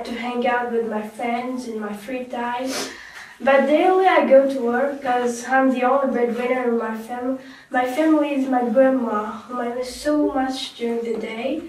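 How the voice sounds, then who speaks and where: A woman playing a remorseful young survivor, slow and echoey. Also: a little high, slight background noise, close to an everyday voice. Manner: slow, halting, with restarts. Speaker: guest in a staged talk show. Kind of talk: scripted role-play interview. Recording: echoey room, far from mic.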